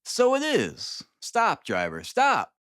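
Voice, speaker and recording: A man voicing a brisk, dryly superior detective in an audiobook, calm and clipped in manner. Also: high voice, no room sound, studio quality, pitched above the performer's own voice, heavily acted. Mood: brisk, assured. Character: brisk, dryly superior male detective, languid then energetic. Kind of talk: dramatised audiobook reading of Victorian detective fiction.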